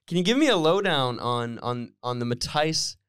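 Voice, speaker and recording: A man's voice: medium pitch, sing-song, normal speed, a bit bright, smooth, dry recording, studio quality. A young man hosting a music podcast, asking questions casually with stumbling restarts and encouraging back-channels. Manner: encouraging, frequent yeahs and laughs, stumbling questions. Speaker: podcast host. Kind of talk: casual interview chat. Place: small room with bare walls. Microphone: handheld dynamic mic, headphones on.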